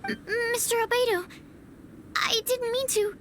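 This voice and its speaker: A woman's voice: very high voice, fairly even intonation, unhurried, noisy background. A woman voicing a timid, polite young female alchemist who stammers and apologizes. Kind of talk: video game voice acting.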